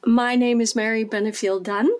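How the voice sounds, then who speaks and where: A woman's voice: on the high side, fairly even intonation, clean tone. A woman from the United States, a singer and performer, talking enthusiastically with many fillers and restarts. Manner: warm, enthusiastic, halting with fillers. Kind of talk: casual interview.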